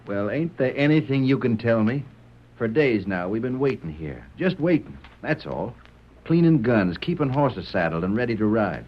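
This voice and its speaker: Impatient Western rancher, American, folksy and lively-pitched, muffled old radio recording. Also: male voice, moderate pace. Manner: exasperated, folksy. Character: impatient, restless rancher itching to ride.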